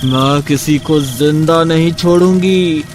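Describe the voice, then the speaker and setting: A man's voice: a little high, slow pace. A man voicing a vengeful witch ghost in a cartoon, growling threats over music. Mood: menacing.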